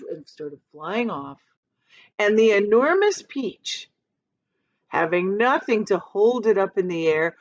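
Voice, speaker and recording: A woman with a very animated, lively pitch reads a children's story aloud in a teacherly way. She is expressive and dramatic and adds small explanatory asides. The sound is slightly dull and lo-fi.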